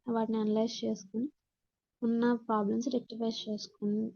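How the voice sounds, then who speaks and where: Female Telugu-accented instructor, lightly high and very relaxed tone, point-by-point lesson delivery with repeated explanation. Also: fairly quick, vocal fry, highs cut off. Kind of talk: slide-based lesson, explaining in Telugu and English.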